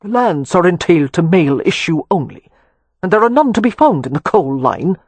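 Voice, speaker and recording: A haughty old Irish earl with an Anglo-Irish accent, as an audiobook reader puts him on, cold, commanding and dismissive. His voice sits a touch higher and louder than the reader's narration, with a husky edge. It sounds dry, with no room around it. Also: big pitch swings, a little fast, no echo. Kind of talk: audiobook character reading.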